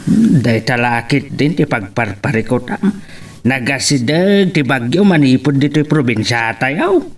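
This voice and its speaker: A man's voice: mid-range voice, lively intonation, measured pace, far from the mic. An actor playing a prying but reassuring male confidant in an Ilocano drama. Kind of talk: Ilocano radio-style drama acting.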